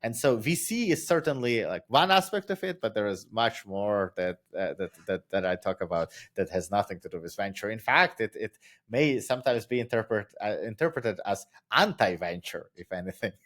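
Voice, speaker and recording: A man's voice: lowish voice, expressive, normal speed, a bit bright. Thoughtful man with a Ukrainian accent. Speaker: guest, author and startup founder. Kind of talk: casual podcast interview.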